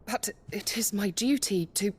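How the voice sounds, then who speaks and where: An actress playing a devoted, dutiful retainer in a fantasy game, speaking formally and deferentially, with some hesitation. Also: female voice, mid-range voice, measured pace, darkish tone, breathy edge. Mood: anxious, reluctant.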